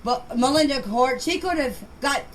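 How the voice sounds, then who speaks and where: A woman of middle age or beyond talks in a somewhat high voice, as if leaving voice messages. She is insistent and defensive, circling back on her points and tripping over words. The homemade recording carries faint noise. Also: lively intonation. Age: middle-aged or older. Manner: defensive, insistent, repeating herself for emphasis; halting, stumbling over words and names. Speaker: member of an online group chat sharing voice messages. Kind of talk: casual unscripted voice messages. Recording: noisy amateur voice message recording.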